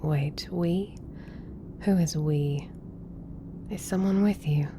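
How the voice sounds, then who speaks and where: Measured, lowish female scientist acted by a woman. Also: noisy background. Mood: wary. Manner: measured, precise, formal. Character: brisk, exacting female scientist, easily irritated. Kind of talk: roleplay audio drama.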